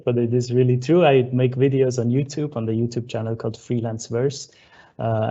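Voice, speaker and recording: A man's voice: medium pitch, creaky, mellow. A young Swiss man giving a friendly conference keynote over a video call, with plenty of uh fillers. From Switzerland. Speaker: keynote speaker. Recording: dull lo-fi online call audio.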